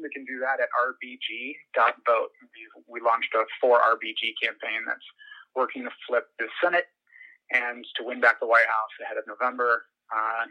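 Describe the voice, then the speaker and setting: An American man answering interview questions as a podcast guest, earnest and feeling for his words as he talks. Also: mid-range voice. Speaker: podcast guest, journalist and political commentator. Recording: muffled lo-fi phone-like line.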